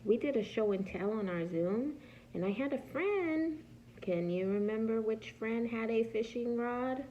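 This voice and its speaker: A woman preschool teacher reading a picture book aloud to young children in a patient, teacherly way, pausing to ask simple questions. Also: a little high, expressive, highs cut off, a little hiss or hum.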